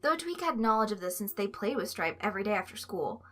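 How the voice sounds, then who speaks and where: A woman narrating a story aloud in an expressive, scripted reading style. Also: mid-range voice, fast talker, faint music behind. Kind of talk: expressive fan fiction reading.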